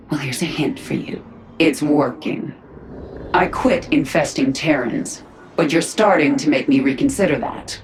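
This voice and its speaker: An actress playing a sardonic, battle-hardened female leader of an alien swarm in a video game, with a dry, sarcastic delivery. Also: extremely low pitch. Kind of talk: video game cutscene acting.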